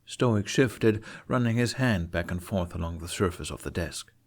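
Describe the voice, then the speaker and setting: A man reading scripted fantasy fiction aloud as an expressive storyteller. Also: low voice, normal speed. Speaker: podfic narrator.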